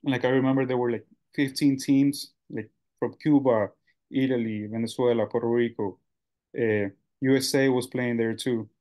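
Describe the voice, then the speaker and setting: A man's voice: mid-range voice, steady tone, moderate pace, darkish tone. A young man from Ecuador, a baseball coach, answering interview questions in a loose, rambling way with many fillers.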